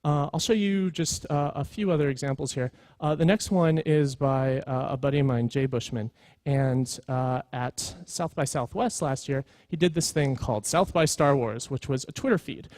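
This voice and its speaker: A man's voice: medium pitch, normal speed, darkish tone, dry recording. A man presenting at a conference in an informal, earnest storytelling way, with emphatic points. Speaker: conference speaker, creative executive.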